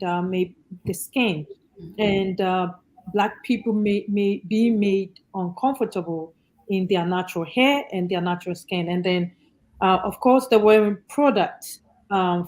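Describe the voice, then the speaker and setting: Ghanaian woman speaking in halting phrases. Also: medium pitch, darkish tone, rough recording. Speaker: panelist, entrepreneur. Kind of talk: conversational self-introduction at an online panel.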